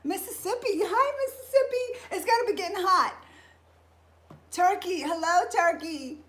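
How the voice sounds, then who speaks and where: Slow-talking high-voiced woman in her fifties. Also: expressive, a bit bright. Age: 50s. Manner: chatty, greets viewers by name. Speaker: fitness host. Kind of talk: live-stream casual talk. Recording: echoey room.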